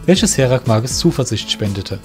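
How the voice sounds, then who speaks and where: A man reading the narration of an amateur radio play, in a steady, measured way. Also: medium pitch, soft background music. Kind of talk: scripted storytelling narration.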